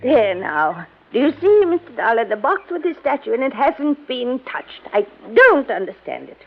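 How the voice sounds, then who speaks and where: A woman's voice: big pitch swings, normal speed, slight background noise. An actress playing a wealthy widow and former chorus girl in a vintage radio mystery, with a fluttery, dramatic manner. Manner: fluttery, dramatic swoops in pitch. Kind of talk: 1950s radio drama acting. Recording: dull vintage radio recording.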